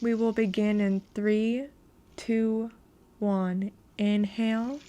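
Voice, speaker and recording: A woman narrating a guided meditation in a calm, measured, instructional way. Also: medium pitch, a little hiss or hum.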